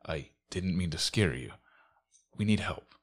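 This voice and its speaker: A man playing a tabletop role-playing game, improvising casually in a halting, plain-spoken way. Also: deep voice, lively intonation.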